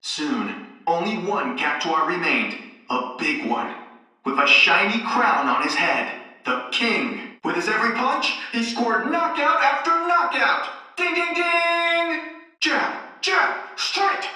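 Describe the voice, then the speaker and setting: An actor playing an excitable theme park announcer who tells children's stories with theatrical, showy drama. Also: male voice, high voice, slow, very echoey, distant mic, full character voice, poor audio. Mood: excited.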